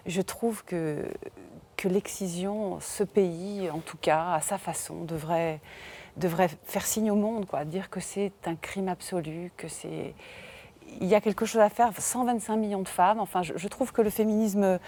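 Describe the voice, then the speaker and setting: A woman's voice: mid-range voice, fairly even intonation, unhurried, mellow, slight background noise. A woman giving a closing philosophical commentary on a TV debate, impassioned and searching for her words. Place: TV studio.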